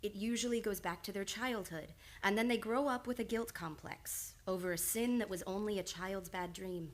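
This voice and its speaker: A woman playing a young, earnest psychiatrist with formal, measured speech in an old-time radio play. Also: medium pitch, natural voice. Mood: calm, clinical. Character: young, reserved, earnest female psychiatrist, precise and clinical.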